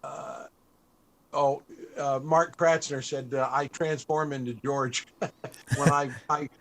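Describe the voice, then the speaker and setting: An older man hosting an interview, chatty and a bit halting, with frequent chuckles. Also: medium pitch, steady tone, fairly bright tone, poor audio. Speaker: panel host.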